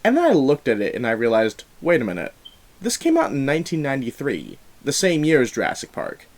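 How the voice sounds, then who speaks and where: Guy doing casual movie commentary, really animated, voice jumps up and down, emphatic. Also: medium pitch, brisk. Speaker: host of a film review show.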